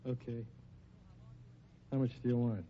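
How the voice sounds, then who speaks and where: An actor playing a tough, indignant gangster in a 1950s radio drama, talking in blunt, defensive, ungrammatical slang. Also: male voice, medium pitch, steady tone, unhurried, natural voice. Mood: grudging. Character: tough, indignant male gangster nightclub owner. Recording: muffled, noisy old radio recording.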